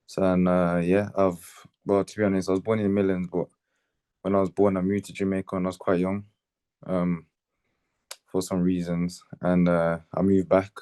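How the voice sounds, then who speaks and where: Young man, late teens, deep dark voice, casual slangy interview chat, laid-back. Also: flat delivery, moderate pace, clear, resonant tone, dry recording, studio quality. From Birmingham, England. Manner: laid-back, slangy, with tags like innit and you know what I mean. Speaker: footballer being interviewed.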